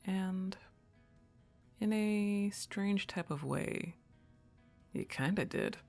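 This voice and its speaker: A woman acting as a young goth roommate in an intimate ASMR roleplay, laid-back and affectionately teasing. She talks slowly, with a lot of creaky fry in her voice, in a casual conversational way. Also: medium pitch, darkish tone, faint music behind. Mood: soft, teasing, affectionate.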